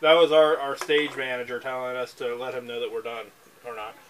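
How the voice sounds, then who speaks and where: A man's voice: mid-range voice, flat delivery, brisk, a little hiss or hum. A man in his thirties co-hosting a casual beer review at a home basement bar, joking and mock-reading labels. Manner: jokey, mock-reading foreign text with exaggerated voices. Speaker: beer review show co-host. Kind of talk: casual chat.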